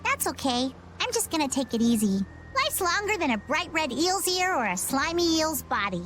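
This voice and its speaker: Game role: carefree young pirate girl, very high, lively swoops, folksy, faint ambience behind. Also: moderate pace, slight background noise. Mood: breezy. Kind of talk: video game voice acting.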